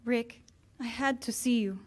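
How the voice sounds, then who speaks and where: A woman's voice: fairly high pitch, a little slow, a little mellow, natural voice. A woman playing a desperate, pleading heroine of a wartime romance in 1940s radio style.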